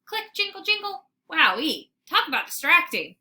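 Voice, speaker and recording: Woman voicing an excitable, needy little dog, very bright. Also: extremely high pitch, normal speed, voice pushed up, full character voice. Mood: excited. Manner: dramatic, exclamatory, pleading. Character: eager, excitable, needy young male pet dog.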